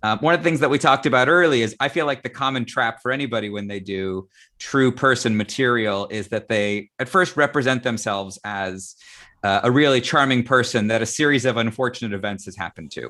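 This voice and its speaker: A man's voice: medium pitch, fairly quick, sharp tone. A man interviewing guests in a casual live conversation, explaining ideas and often checking in with "right?". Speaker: interviewer in a theatre discussion.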